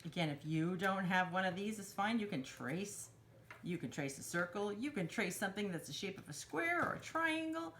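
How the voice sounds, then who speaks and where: A woman's voice: medium pitch, lively intonation, moderate pace, a bit bright, slight background noise. A woman hosting a children's storytime craft, explaining each step in simple instructional phrases for young children. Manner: simple instructional phrasing, refers to herself in third person.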